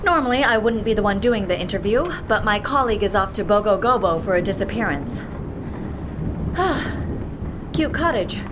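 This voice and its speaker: A woman playing a brisk, talkative, blunt pilot and death-faking agent in an audio drama, with a wry, animated manner. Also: mid-range voice, noisy background, natural voice. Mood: chatty, teasing. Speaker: actor in an audio fiction series.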